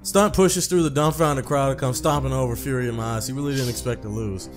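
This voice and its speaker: A man's voice: medium pitch, fast. A young man hosting a gaming video, reading a visual novel aloud in an informal, profane, conversational way. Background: music.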